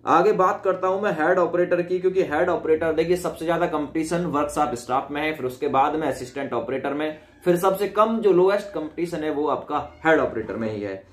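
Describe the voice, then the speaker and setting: A man's voice: fairly high pitch, brisk, few pauses. A man explaining things informally as a video presenter, rephrasing and checking in with his listeners. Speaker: exam-update video presenter. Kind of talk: informal explanatory commentary on recruitment cut-offs. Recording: echoey, reverberant room.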